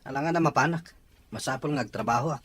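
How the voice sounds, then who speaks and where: A man playing a curt, neglectful older brother and father with a husky voice and Filipino Ilocano accent in an audio drama. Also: mid-range voice, fast talker, fairly bright tone. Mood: curt, dismissive.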